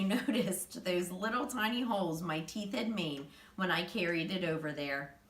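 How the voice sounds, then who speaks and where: Female storybook reader, animated and emphatic, bright tone, slightly distant from the mic. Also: mid-range voice. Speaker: teacher reading a children's chapter book aloud. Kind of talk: expressive storybook narration. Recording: roomy echo, distant microphone.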